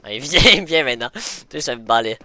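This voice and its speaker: A man's voice: mid-range voice, expressive, moderate pace, bright, forceful tone. A man chatting casually during a game, repeating himself in slangy speech mixed with English words, on a noisy mic. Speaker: co-player in a gaming video. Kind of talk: casual gameplay chat with a friend.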